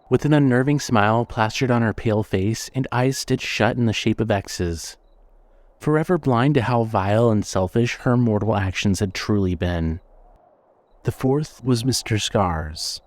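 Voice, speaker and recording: A man's voice: fairly low pitch, darkish tone, dry recording. Dry, close male fiction narrator. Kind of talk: scripted dramatic fiction narration.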